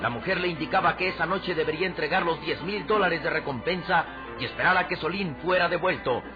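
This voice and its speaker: A man's voice: a little high, fast talker. A man narrating a scripted radio serial in a solemn, declamatory way. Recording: muffled old radio drama recording. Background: prominent music.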